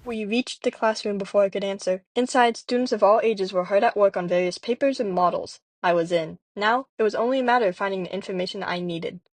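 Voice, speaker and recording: A woman plays a world-weary private eye in an old radio mystery. The voice sits at a medium pitch, wry and understated, moving between narration and dialogue. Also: brisk, few pauses, natural voice. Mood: reflective.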